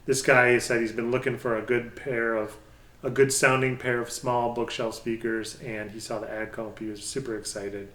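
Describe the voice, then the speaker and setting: A man with a mid-range voice speaks casually, like a hobbyist hosting his own review channel. He talks without a script, halting and working out his thoughts as he goes. The recording is noticeably echoey, with the room clearly audible around his voice. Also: mid-range voice, level tone, fairly bright tone. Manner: halting, thinking aloud. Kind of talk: casual unscripted product talk. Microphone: pair of budget stereo microphones. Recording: echoey, roomy sound.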